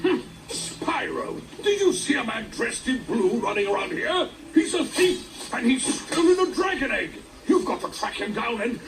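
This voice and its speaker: A man's voice: extremely high pitch, full character voice. A man voicing an elderly, jolly dragon who chuckles and gets winded. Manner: slow, chuckling, easily winded. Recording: distant game audio with music.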